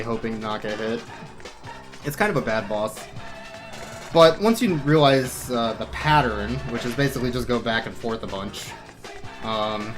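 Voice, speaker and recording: A man giving casual, wry, offhand commentary on a live video game speedrun. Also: medium pitch. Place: live gaming event stream with game music behind.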